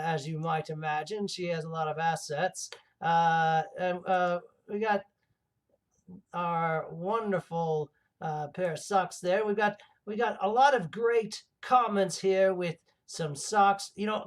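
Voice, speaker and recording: A man playing a theatrical mad villain hosting a comedy livestream, rambling and gloating with halting, melodramatic delivery and a creaky voice. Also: a little high. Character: theatrical male mad villain host plotting laser revenge. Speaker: livestream show host.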